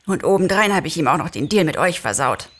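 A woman voicing a slight, excitable man freed from a spell in an audio-play reading, exclaiming and cheeky. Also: lowish voice, fast talker. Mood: excited, indignant. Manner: overjoyed exclaiming, cheeky and self-assured.

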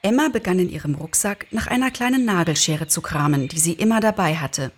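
Husky woman narrating a story. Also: fairly low pitch, lively intonation, fairly quick. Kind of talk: expressive scripted story narration.

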